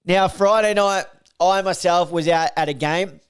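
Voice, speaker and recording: A man, slightly croaky from a recovering voice, hosting a casual solo podcast and reeling off football stats with lots of fillers. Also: high-pitched, a little slow. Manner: rambling, filler-heavy, reels off stats. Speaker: podcast host reviewing football.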